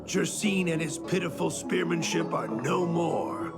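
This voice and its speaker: A man acting as a zealous cult disciple and former spearman in a video game, with a gravelly voice and deliberate, solemn, theatrical delivery. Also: a little high. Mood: proud, fervent. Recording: loud music under the voice.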